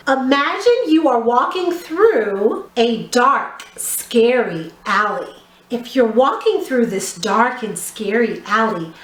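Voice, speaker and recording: Lady teaching little kids drama, really slow and singsongy up and down, encouraging, bit of a roomy echo. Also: high-pitched. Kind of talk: instructional video lesson for young children. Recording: roomy, echoey sound.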